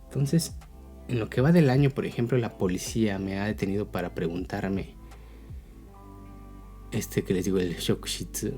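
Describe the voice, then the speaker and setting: A man's voice: fairly low pitch, normal speed, pauses often, dark tone, faint music behind. A man with a Mexican accent giving a casual, explanatory opinion talk as a video blogger, often checking in with tag questions.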